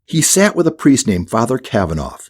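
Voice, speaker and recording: A man's voice: mid-range voice, sing-song, fairly quick, darkish tone, slightly rough. A man reading a scripted children's audiobook as the narrator.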